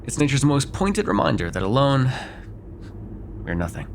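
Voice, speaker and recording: A man's voice: medium pitch, very animated, moderate pace, creaky, a little hiss or hum. A man playing a sardonic, grudgingly apologetic vampire in an immersive roleplay, talking in a wry, hesitant, conversational way with some swearing. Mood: brooding, wry. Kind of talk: immersive ASMR roleplay acting, one side of a dialogue.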